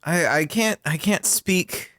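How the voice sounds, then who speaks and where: A man's voice: a little high, slow pace, fairly bright tone, dry recording, studio quality. A man co-hosting a podcast with casual comedic commentary, sardonic and often mock-exasperated. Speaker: podcast co-host.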